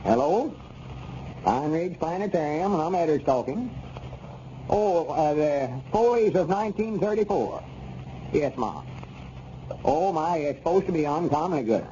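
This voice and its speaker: A man's voice: fairly high pitch, animated, a little slow, pauses often, warm tone. An actor playing a kindly older country man running a theater box office, with a rural Southern drawl and hesitant, homespun talk in a 1930s radio comedy serial. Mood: neighborly. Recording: dull, noisy 1930s radio recording.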